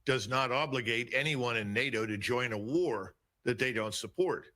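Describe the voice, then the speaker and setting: A man answering as an interview guest, giving firm, deliberate political analysis. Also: mid-range voice, bright, forceful tone.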